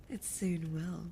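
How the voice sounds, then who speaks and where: A woman voicing a seductive, imperious and gloating succubus demon in an ASMR audio roleplay, breathy and often lapsing into whispers, with a theatrical, condescending delivery. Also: on the low side, mellow, a little hiss or hum, full character voice. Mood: mocking, teasing.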